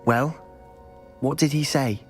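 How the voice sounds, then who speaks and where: A man's voice: medium pitch, fairly even intonation, slow pace, faint music behind, lightly acted. A man playing a determined, protective teenage wizard in a video game, speaking with a British accent and an intense, insistent manner. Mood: indignant. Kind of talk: video game cutscene acting.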